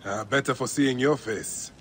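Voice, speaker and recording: A man's voice: mid-range voice, normal speed, fairly bright tone, a little hiss or hum, close to an everyday voice. A man playing a brooding warrior protector of ancient Egypt in a video game, grave and earnest. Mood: weary, wry.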